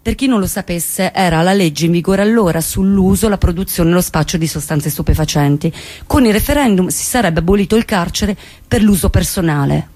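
An actress playing an embittered, outspoken woman in an intense, emphatic stage monologue with deliberate pauses. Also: lowish voice, darkish tone, lightly acted. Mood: urgent, hopeful. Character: embittered outspoken woman recounting her lost friend and trial. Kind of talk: dramatic theatrical monologue.

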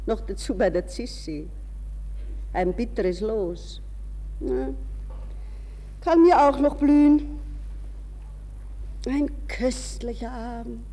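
A woman's voice: fairly high pitch, slow, long pauses, warm tone, big-room sound, rough recording. A woman playing a restless, ironic young society lady, musing to herself in a radio drama monologue. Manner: musing, self-questioning, shifting between reverie and mockery.

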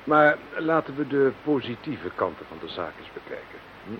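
An actor playing a smug, condescending scientific expert in a radio play, speaking formally and pompously. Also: male voice, mid-range voice, very animated, normal speed, lightly acted. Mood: smug, fascinated. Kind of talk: Dutch radio play acting. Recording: dull, hissy radio play recording.

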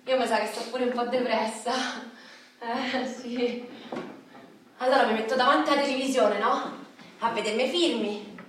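A woman's voice: a little high, fairly even intonation, normal speed, pauses often, fairly bright tone, a little hiss or hum, lightly acted. Echoey, confiding motherly Roman woman played by an actress. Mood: gloomy, resigned. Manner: colloquial, confiding, with tag questions. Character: motherly, melancholy working-class Roman woman. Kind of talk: radio theatre monologue. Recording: very echoey room, distant from mic.

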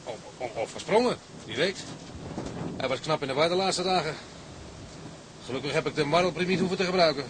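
A man's voice: a little high, a little fast, long pauses, lightly acted. A man acting a blunt, grumbling, wary sailor with colloquial speech in a radio drama. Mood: uneasy. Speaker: actor. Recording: noisy radio play with background ambience.

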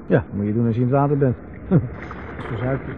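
A man's voice: mid-range voice, fast, noisy background, lightly acted. An actor playing a reclusive, sardonic man in his thirties in a Dutch radio drama, dry and evasive. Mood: dry, mocking. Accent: Netherlands Dutch. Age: 30s. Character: reclusive, wry older brother living on an island. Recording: muffled, lo-fi vintage radio recording.

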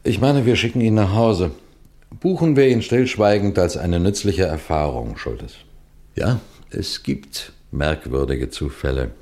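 An actor playing a seasoned, methodical detective chief in a 1960s German radio crime drama, lecturing with deliberate precision. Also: male voice, low voice, animated, mellow. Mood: measured explaining.

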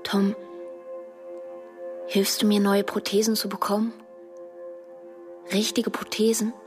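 A woman's voice: mid-range voice, little pitch movement, takes it slowly, close to an everyday voice. An actress playing a vulnerable, hopeful woman in a radio drama, with a tentative, pleading way of speaking. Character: vulnerable, hopeful female amputee pianist, tentative. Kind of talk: German radio drama acting. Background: music.

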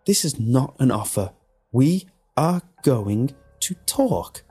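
A man's voice: on the high side, sing-song, unhurried, soft background music, voice pushed up. A man voicing a jealous, demanding mistress in an audio story, hammering out each word with emphasis. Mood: cold, insistent. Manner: forceful, emphatic syllables. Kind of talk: dramatic audio story dialogue.

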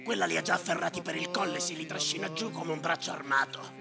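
A sardonic, bitter storyteller with a very creaky, high-set male voice. He declaims his monologue in insistent repetitions, theatrical and gravelly. Long reverb surrounds him, with music playing behind. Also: steady tone, fast. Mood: ominous, intense. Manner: declamatory, repetitive, swinging from muttering to shouting. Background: live music.

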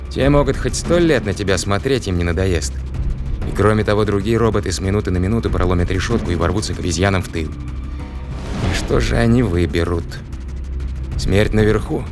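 A low-pitched male captain, played by an actor, commanding and tense, rallying his crew in a sci-fi radio play. Music and battle noise run behind his voice. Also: lightly acted. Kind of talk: audio drama acting.

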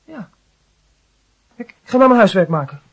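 An actor playing an earnest, touchy teenage schoolboy who gives short, offhand replies in a Dutch radio play. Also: male voice, high-pitched, sing-song, normal speed, husky, heavily acted.

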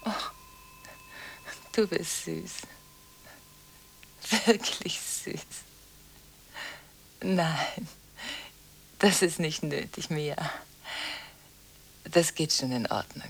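An actress playing a restless, contradictory woman on the phone late at night in a radio drama, halting and anxious, shifting between hushed and insistent. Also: sits low, animated, creaky, husky, full character voice, rough recording. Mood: soothing, weary. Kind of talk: radio monodrama acting, one side of phone conversations.

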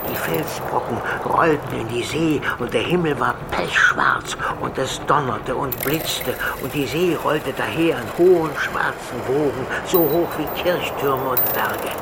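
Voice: medium pitch, gravelly, noisy background. A person reading a fairy tale as dramatic storybook narration.

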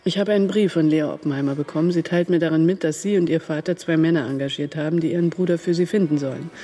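A woman's voice: low voice, fast talker, warm tone, soft background music. An actress playing a shrewd, worldly German woman agent in a radio crime drama, cool and matter-of-fact in manner. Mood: knowing, matter-of-fact.

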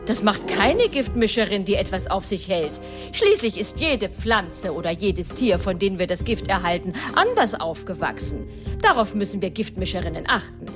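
A woman's voice: mid-range voice, sing-song, normal speed, over music, natural voice. An actress playing a chatty poison-mixer witch in a radio play, effusive, theatrical and proud of her craft. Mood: expert, proud.

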